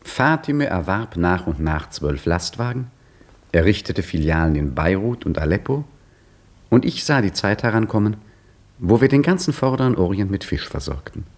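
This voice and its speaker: Hakim, a wry, brooding husband. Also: male voice, low-pitched, sing-song, normal speed, full character voice. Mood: reflective. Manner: reflective, wry narration. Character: Hakim, an idle, brooding Egyptian fish merchant's husband. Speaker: narrator and lead character. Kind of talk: 1950s radio drama acting.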